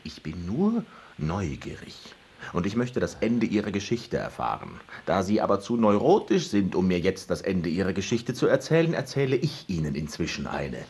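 A man's voice: low-pitched, big pitch swings, moderate pace, darkish tone, slightly rough, exaggerated voice. An actor in a radio drama playing a talkative, curious and teasing man who tells stories in a theatrical, ironic way. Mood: lively, ironic. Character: talkative, curious, teasing male host telling an old tale. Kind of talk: German radio drama, dialogue and storytelling.

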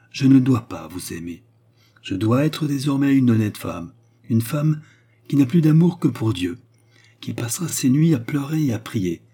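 Man voicing a solemn, husky repentant courtesan. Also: fairly low pitch, dark tone, pitched above the performer's own voice. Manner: formal, declamatory, emphatic. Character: repentant former courtesan, woman, solemn, secretive, commanding. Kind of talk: dramatic storybook dialogue.

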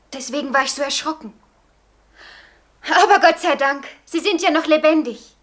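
Kind young girl, fairly high voice, earnest, frank, coaxing, old radio drama. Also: fairly bright tone. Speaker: actress in a radio play. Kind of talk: 1940s German radio play acting.

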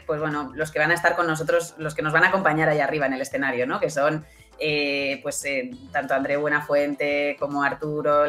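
A woman with a Spanish accent from Spain talks casually and enthusiastically as an interview guest, often checking in with little tag questions. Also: deep voice. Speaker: podcast producer and event presenter, interview guest.

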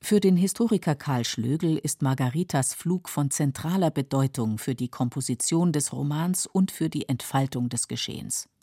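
A woman narrating a scripted literary radio feature in a measured, explanatory manner. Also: deep voice, dark tone, no room sound, clean studio sound. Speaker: radio presenter explaining a novel.

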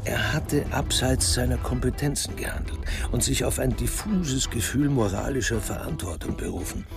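A man narrating a radio crime drama in a measured, literary storytelling style. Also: medium pitch, animated, gravelly, music in the background.

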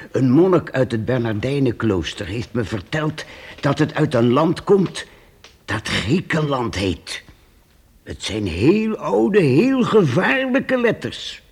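Mock-stern old priest, slow and lilting, radio drama. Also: male voice, mid-range voice, husky, full character voice. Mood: warning. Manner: sly, probing, mock-stern. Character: shrewd teasing old male priest teacher. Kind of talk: radio play acting.

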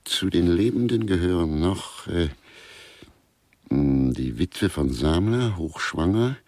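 An actor playing a grumpy, disdainful elderly man in a crime radio play, grumbling pedantically and deadpan in a gravelly, husky voice. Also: sits low, slow pace, dry recording. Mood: pedantic brooding. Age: older. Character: Pelz, grumpy elderly male guest, disdainful of modern art. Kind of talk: German crime grotesque radio play dialogue.

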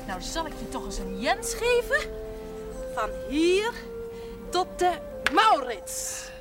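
A woman's voice: sits high, big pitch swings, slow pace, full character voice. An actress playing a playful, coy woman who teases and banters, in naturalistic television drama style. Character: playful, teasing woman, secretly a little jealous. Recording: 1970s television soundtrack under loud music. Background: loud music.

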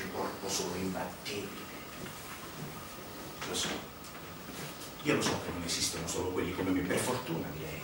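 An actor playing a cynical, corrupt Vatican priest in a theatrical monologue, mocking and menacing, with dramatic delivery. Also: male voice, deep voice, close to an everyday voice. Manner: dramatic, sardonic, swinging between hushed and loud. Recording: echoey, distant, noisy stage recording.

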